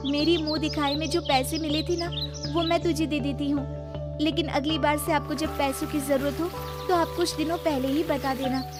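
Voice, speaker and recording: A naive, obedient young daughter from a cartoon acted by a woman. She speaks in a high, gentle, placating voice over loud music. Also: fairly even intonation, moderate pace, lightly acted. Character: naive, dutiful, obliging young married daughter. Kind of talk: cartoon story acting. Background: loud background music.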